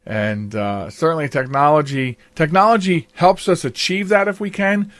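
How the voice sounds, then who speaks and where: An older man hosts a casual interview show. His voice sits a little higher than most men's, and the recording is close and dry, with next to no room sound. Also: moderate pace, a bit bright, no room sound. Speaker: podcast host.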